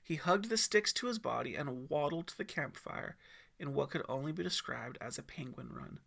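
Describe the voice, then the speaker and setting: A man reads a story expressively, as an audiobook narrator would. His voice is bright and forceful, a bit high for a man, with a gravelly creak. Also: lively intonation, moderate pace.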